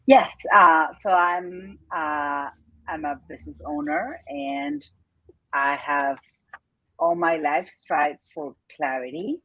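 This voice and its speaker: A woman's voice: sits low, expressive, takes it slowly, a bit bright, no room sound, lo-fi sound. A woman in her fifties from Argentina with an Argentine Spanish accent, a business owner speaking candidly and reflectively as an interview guest, with frequent fillers and laughs. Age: 50s. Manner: reflective, frank, laughs often, many fillers.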